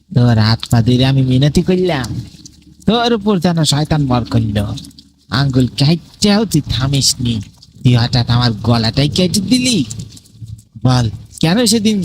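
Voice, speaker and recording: A man's voice: mid-range voice, background noise, close to an everyday voice. A man voicing the vengeful, accusing ghost of a murdered villager in a horror cartoon. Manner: menacing, accusing, taunting. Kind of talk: dramatic horror cartoon acting.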